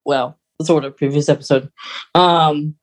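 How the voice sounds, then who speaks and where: A podcast co-host in casual fan chat, geeky and halting, getting animated when amused. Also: mid-range voice. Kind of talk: casual fan chat about film lore.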